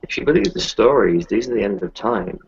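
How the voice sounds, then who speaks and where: Deep, gravelly, husky man in roleplay. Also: big pitch swings, brisk. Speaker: tabletop roleplaying game player. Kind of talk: casual in-character roleplay. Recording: dull, lo-fi voice recording.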